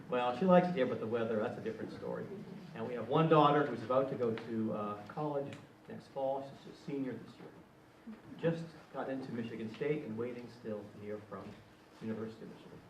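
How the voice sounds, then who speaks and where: A middle-aged man from New Hampshire, a rabbi, introducing himself on a panel in a chatty way with joking asides. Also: mid-range voice. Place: event hall. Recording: echoey room, far from mic, noisy.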